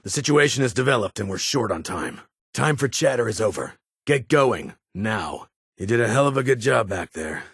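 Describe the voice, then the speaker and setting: An actor playing a stern, guarded military security leader in a video game, speaking formally in clipped, commanding phrases. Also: male voice, on the low side, animated, normal speed, gravelly, fairly bright tone, breathy edge, dry recording, studio quality, full character voice. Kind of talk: video game character dialogue.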